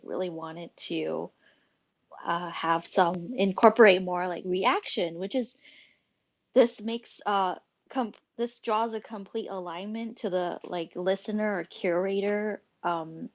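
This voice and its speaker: A woman hosting a casual live audio chat, talking in a halting, rambling way as she thinks aloud. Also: mid-range voice, fairly bright tone. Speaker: host and moderator of a live social audio room. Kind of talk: casual unscripted group chat. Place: live social audio app call. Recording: dull lo-fi online audio-chat connection.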